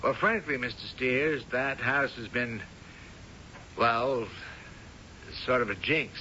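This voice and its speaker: Bright, hesitant house seller. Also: male voice, slow pace. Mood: uneasy, polite. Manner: hesitant, explanatory. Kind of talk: 1970s radio drama acting. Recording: dull old radio recording, faint rumble.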